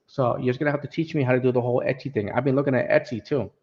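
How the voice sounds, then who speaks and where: A man's voice: medium pitch, fairly even intonation, rapid-fire, darkish tone, highs cut off. A Puerto Rican man with an accent, chatting casually as a guest and restarting often. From Puerto Rico. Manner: hesitant, many restarts. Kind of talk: casual chat.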